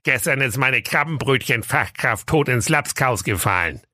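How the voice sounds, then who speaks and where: Man doing a bright, grumbling canteen chef. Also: mid-range voice, expressive, normal speed, no room sound, studio quality, pitched above the performer's own voice. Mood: annoyed. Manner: grumbling, matter-of-factly threatening. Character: gruff, harried, menacing canteen chef. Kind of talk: comic fairy-tale audio story.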